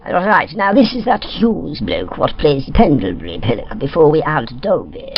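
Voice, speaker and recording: A man voicing a cheeky, rough working-class sound technician with a British accent. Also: fairly high pitch, sing-song, normal speed, muffled recording, noisy background. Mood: matter-of-fact, cheeky.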